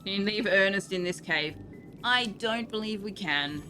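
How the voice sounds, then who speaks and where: A woman's voice: mid-range voice, normal speed, pushed and bright. An Australian woman streaming a game, reading item text aloud and chatting in a dry, sarcastic way. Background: game music.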